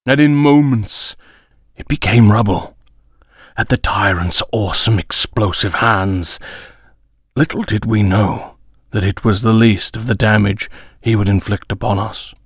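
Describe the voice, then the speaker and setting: Slow, solemn old alien storyteller, played by a man. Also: on the low side, slightly rough, no echo, studio quality. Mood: grave, mournful. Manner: grave, measured, with dramatic pauses. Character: solemn old alien storyteller telling children grim legends. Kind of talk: solemn storytelling narration in a comic dub.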